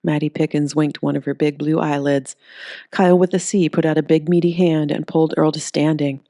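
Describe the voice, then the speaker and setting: A woman reading a story aloud in an expressive, scripted narration style. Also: sits low, fairly quick, no echo, studio quality.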